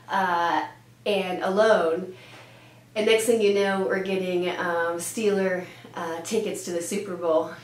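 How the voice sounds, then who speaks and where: A woman in her thirties, born in Denmark with Danish as her first language, chatting casually as a pediatrician answering interview questions, with lots of "uh" and "um". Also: mid-range voice. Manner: chatty, with frequent "uh" and "um" fillers. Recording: echoey room with faint hum.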